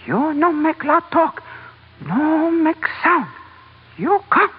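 An actor playing a terse, stern palace guard who speaks in clipped broken English in an old radio drama. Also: male voice, very high voice, very animated, heavily acted. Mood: stern, commanding. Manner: clipped broken phrases, slowly delivered. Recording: tinny, noisy old radio recording.

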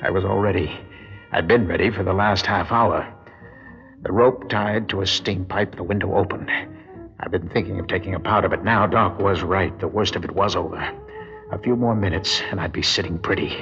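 Low-voiced, jittery noir burglar. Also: male voice, normal speed. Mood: tense, brooding. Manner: nervy, hard-boiled, slangy. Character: jittery guilt-ridden male burglar narrating his own crime. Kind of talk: radio drama acting with first-person noir narration. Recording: muffled vintage radio recording, music behind.

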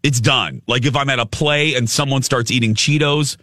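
A male radio host telling a story in casual chat, with a loud, big voice and a habit of cussing. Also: medium pitch, moderate pace, no room sound, studio quality. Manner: swears casually.

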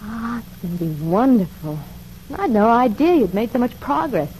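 A woman playing a glamorous, friendly and coy young club performer in an old-time radio soap, husky-voiced. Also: a little high, expressive, normal speed, a little mellow, a little hiss or hum, close to an everyday voice, low-quality recording. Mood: admiring.

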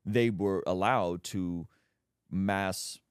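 A man's voice: low voice, extremely slow, clean tone, no room sound, studio quality. A man from Azerbaijan hosting a podcast, telling stories in casual conversation with lots of restarts. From Azerbaijan, Armenian family. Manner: animated storyteller, many restarts. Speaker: podcast host.

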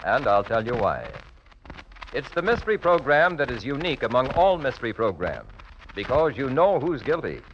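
A man's voice: mid-range voice, very animated, normal speed, slight background noise. A man announcing in a polished, emphatic 1940s radio style, presenting and selling. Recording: muffled old radio recording.

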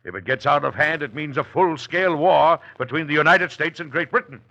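An actor playing a dignified, middle-aged president and father in old-time radio drama, formal, measured and easily exasperated. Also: fairly high pitch, expressive, gravelly, highs cut off. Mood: stern, urgent. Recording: old radio recording, faint hiss.

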